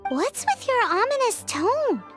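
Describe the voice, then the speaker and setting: Game fairy sidekick: tiny, cheeky, extremely high and slow-paced, over loud music. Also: female voice, big pitch swings, heavily acted. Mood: suspicious, nervous. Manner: speaks of herself in third person, exclaiming. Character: tiny chirpy childlike floating fairy companion, excitable, cheeky. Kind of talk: video game character acting. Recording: studio voice under loud music.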